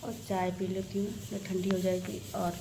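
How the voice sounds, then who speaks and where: A woman with a sore, hoarse throat talking to her phone camera in a home kitchen, chatty and hurried as she cooks. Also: mid-range voice, monotone. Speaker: homemaker vlogger. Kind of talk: casual talk to camera while cooking. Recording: home phone video with clear background noise.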